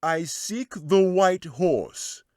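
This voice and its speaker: High, loud, commanding wizard. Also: male voice, lively intonation, very slow, dry recording, studio quality. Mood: commanding, oath-swearing. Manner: loud, declamatory. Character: scheming wizard, loud and commanding, swearing oaths.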